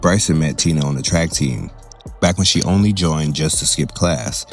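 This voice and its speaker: A man narrating a scripted drama in short, measured, dramatic phrases. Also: very deep voice. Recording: music behind the voice.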